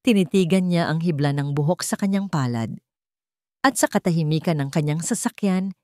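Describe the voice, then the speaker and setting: A woman's voice: medium pitch, darkish tone, no room sound, studio quality. A woman narrating a scripted story in a dramatic storytelling style.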